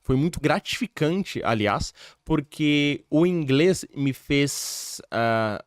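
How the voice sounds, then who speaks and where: A man's voice: fairly high pitch, slow pace, no room sound. Brief: Brazilian male podcast host, emphatic and chatty, speaks straight to viewers, close dry sound. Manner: animated, emphatic, addresses the audience directly. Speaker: podcast host and English teacher. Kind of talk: casual interview chat. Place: podcast studio.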